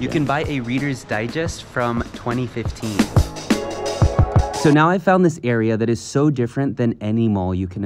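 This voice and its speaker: Casting: man in his 30s, travel vlogger, upbeat and curious, handheld camera sound, soft music underneath. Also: mid-range voice. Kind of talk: travel vlog with voice-over narration. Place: large indoor shopping mall. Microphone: action camera held at arm's length.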